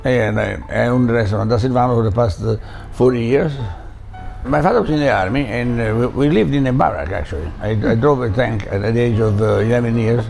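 An older man with an Italian accent talks in a gravelly, dark voice. He tells stories with animation, restarts often and slips into Italian words. He is a chef being interviewed in a bright room. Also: mid-range voice, brisk, faint music behind. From Italy. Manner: animated storyteller, repeats words, drops into Italian. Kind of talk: casual interview.

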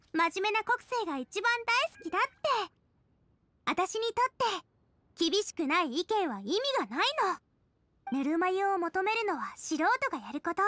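Very high, bubbly teenage girl. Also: a bit bright. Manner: bubbly, frank, casual. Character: cheerful, sincere teenage girl cook. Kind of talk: Japanese anime game voice acting. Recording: faint music behind game dialogue.

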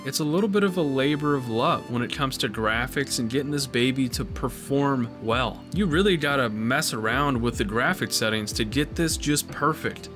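A young man with a cold, a little raspy and stuffed up, chatting excitedly and swearing casually as he talks over gameplay. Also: mid-range voice. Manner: excitable, swears casually, says "dude" often. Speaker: gaming video host.

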